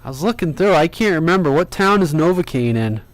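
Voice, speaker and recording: A man's voice: a little high, animated, a little fast. A man talking casually as a CB radio operator hosting an on-air session. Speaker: live stream host, CB operator. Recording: clearly noisy radio-room recording.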